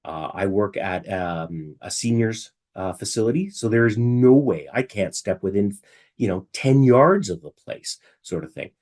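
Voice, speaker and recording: A man with a somewhat low voice that has gone raspy from illness talks through a casual webinar presentation from his home. He is middle-aged and chatty, and he keeps checking in with rhetorical questions. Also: animated, measured pace, a little mellow.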